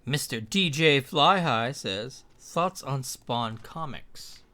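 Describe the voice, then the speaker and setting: Casual co-host man reading viewer messages, bright crisp voice, easygoing. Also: mid-range voice, expressive, slow pace. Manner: laid-back, reads out chat messages. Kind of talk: casual live-stream chat.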